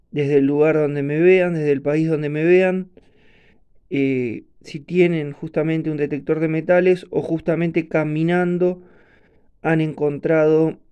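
A man with an Argentine Spanish accent hosting an informal explainer video, speaking haltingly and often repeating himself. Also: a little high, mellow, clean tone. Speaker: coin channel host. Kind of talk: informal explainer narration to camera.

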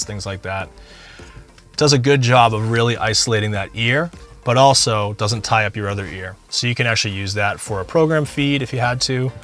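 Low-voiced man casually reviewing gear. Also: fairly bright tone. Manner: conversational, explanatory. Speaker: product reviewer, host. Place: home music studio room.

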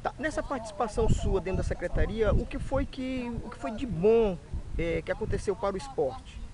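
A man's voice: high voice, animated, a little fast, crowd noise, rough recording. A man asks informal interview questions as a sports reporter, recorded outdoors on a camera. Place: open-air football ground.

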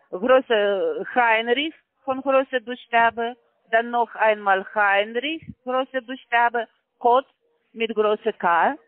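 A woman's voice: on the high side, no room sound. A woman working as a call center agent on a phone call, talking in broken grammar with constant hesitant fillers. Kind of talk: phone sales pitch. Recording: tinny dry phone line.

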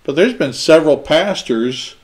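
A man's voice: fairly high pitch, lively intonation, moderate pace. A man in his sixties hosting a panel discussion, talking in a conversational way and gesturing as he speaks. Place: small indoor studio set.

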